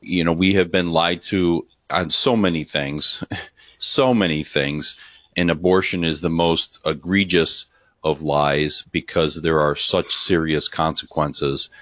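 Male podcast co-host, very low voice, dry close sound, upbeat and earnest. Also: fairly even intonation, slow pace, fairly bright tone, dry recording. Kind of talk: hosted interview.

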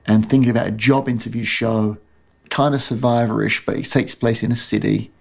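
A man's voice: medium pitch, fairly even intonation, brisk, gravelly, breathy edge. A man, a television producer, recounting an anecdote in an interview.

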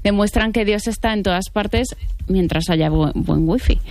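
A woman presenting an internet trends segment on a comedy show, lively, breezy and joking. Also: mid-range voice, normal speed. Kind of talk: chatty comic segment.

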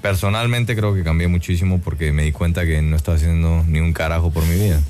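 A man's voice: deep voice, fairly even intonation, fast, a little mellow, soft background music. A man from Guatemala, a singer chatting in an interview, thoughtful and full of "eh" fillers and tag questions. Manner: reflective, many "eh" fillers and tag "¿no?". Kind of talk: casual interview chat.

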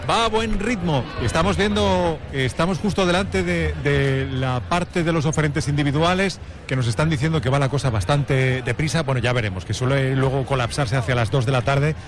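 A man's voice: a little high, moderate pace, background noise. Upbeat male radio presenter. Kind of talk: live radio commentary.

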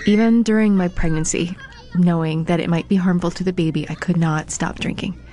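Woman telling her own story in a psa, low mellow voice, candid and confessional. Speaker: testimonial speaker. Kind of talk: scripted personal testimony.

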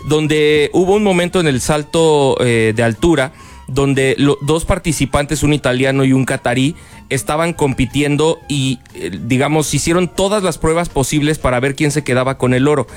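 A man's voice: a little high, moderate pace, soft background music. A man with a Mexican accent, hosting a casual panel chat and telling stories with lots of fillers. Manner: chatty, storytelling, many fillers. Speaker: host.

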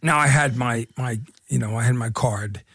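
Philly guy cohosting radio, gravelly husky voice, lots of ums and restarts. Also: mid-range voice, a bit bright, no room sound. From Irish heritage. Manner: halting, many fillers and restarts. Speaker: radio co-host. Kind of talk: casual radio chat.

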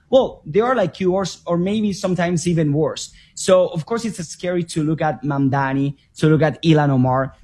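Brief: male guest, fairly high, husky, emphatic. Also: a little mellow. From Venezuela. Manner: earnest, emphatic. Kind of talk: radio interview.

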